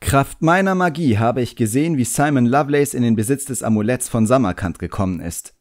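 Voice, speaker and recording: A man's voice: medium pitch, animated, a little fast, a little mellow, pitched above the performer's own voice, lightly acted. A man voicing a proud, sullen young boy apprentice magician in an audiobook, defiant and muttering. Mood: haughty.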